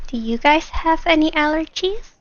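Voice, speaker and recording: A woman's voice: high voice, expressive, moderate pace, muffled recording, slight background noise. A giggly woman chatting casually with viewers while she livestreams a game. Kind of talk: casual chat with viewers during gameplay. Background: game music.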